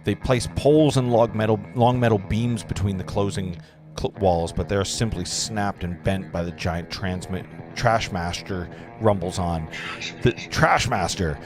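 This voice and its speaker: A man's voice: on the low side, a little slow. A man reading stage directions as narrator at a script table read, stumbling over words now and then. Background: clear guitar music.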